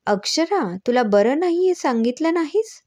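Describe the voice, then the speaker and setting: Lady narrator doing a confident lady doctor from a story, lively pitch, knowing and patient. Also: moderate pace. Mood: teasing. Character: clever, teasing woman doctor, calm and confident.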